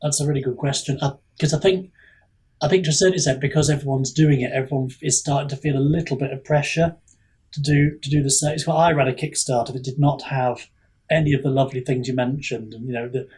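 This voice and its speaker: A man talks casually as a podcast guest. His voice is mid-pitched and somewhat husky. He phrases things in a hesitant, thoughtful way. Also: fairly even intonation, quick pace, darkish tone. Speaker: indie author on a podcast.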